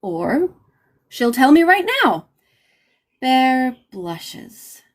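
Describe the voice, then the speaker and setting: A woman narrator reading a novel's first page aloud in an expressive, dramatic way. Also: high-pitched, very slow, faint music behind. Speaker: audiobook narrator.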